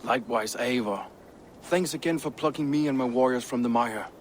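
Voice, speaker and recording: A man's voice: mid-range voice, moderate pace, a little hiss or hum, lightly acted. Earnest, poetic Viking shipwright, played by a man. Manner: earnest, lyrical. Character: loyal, poetic male Viking shipbuilder. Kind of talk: dramatic video game acting.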